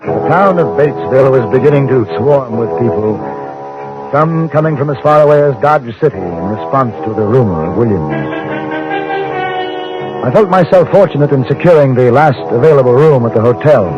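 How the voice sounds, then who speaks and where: Male actor as English gentleman reporter, British accent, formal, courteous, inquisitive, mid-range voice, dull vintage radio sound. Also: medium pitch, expressive, brisk, close to an everyday voice. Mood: composed, narrating. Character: polite, inquisitive English gentleman newspaper correspondent. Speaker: actor and narrator in a radio western. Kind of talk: old-time radio drama, scripted first-person narration. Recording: dull old radio recording with music.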